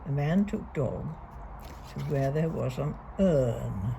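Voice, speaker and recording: A woman reading a picture book aloud to young children, deliberately and expressively, leaning on key words. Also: a bass voice, lively intonation, gravelly, warm tone, a little hiss or hum. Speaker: storybook reader.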